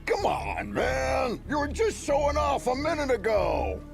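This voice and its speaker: A man's voice: very high-pitched, sing-song, unhurried, vocal fry, raised voice, over music, exaggerated voice. A man voicing a cocky, exasperated law enforcer in a video game cutscene.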